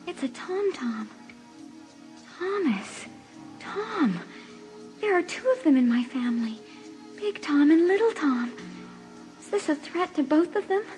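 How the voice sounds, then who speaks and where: Anxious high-voiced teenage girl. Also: very animated, unhurried, pauses often, music in the background. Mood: fearful. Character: Megan, anxious, earnest, reproachful teenage girl. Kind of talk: dramatised audiobook acting.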